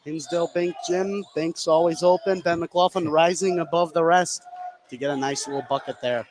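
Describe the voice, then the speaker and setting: Fairly high male color commentator, noisy gym. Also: fairly even intonation, normal speed. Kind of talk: live sports color commentary. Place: indoor high school gym during a game. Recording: noisy gym with ball bounces. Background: game noise, bouncing basketballs.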